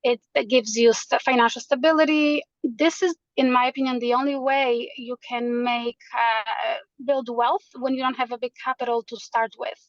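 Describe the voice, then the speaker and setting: High-voiced woman. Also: steady tone, moderate pace, no room sound, lo-fi sound. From Poland. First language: Polish. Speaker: guest realtor. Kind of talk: interview answers.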